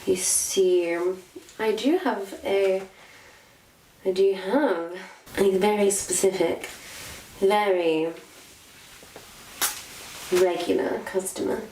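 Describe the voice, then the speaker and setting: A woman's voice: medium pitch, dark tone. A woman playing a sly, self-satisfied witch posing as a missionary, in a theatrical, condescending monologue that savors each word to a captive listener. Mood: gloating, condescending. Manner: theatrical, condescending, savoring words with long pauses. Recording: roomy echo, faint background noise.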